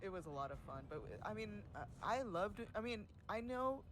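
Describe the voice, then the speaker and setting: A man hosting community events, chatting casually on a livestream, laughing often and filling pauses with ums. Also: high-pitched, very animated, background noise. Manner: says he has a louder voice than most.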